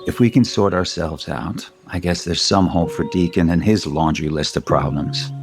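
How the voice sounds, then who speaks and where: An actor playing a cocky, fond older husband in an audio soap opera, smooth-talking and teasing. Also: male voice, low-pitched, normal speed, over music. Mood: sincere.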